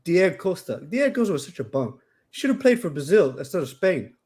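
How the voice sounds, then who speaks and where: A man born in Canada in a casual football chat, excitable and quick to laugh. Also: high voice.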